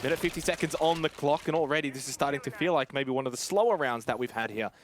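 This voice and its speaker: A man's voice: mid-range voice, background noise. A man giving analytical live commentary on an esports match. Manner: analytical, measured play-by-play. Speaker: esports caster.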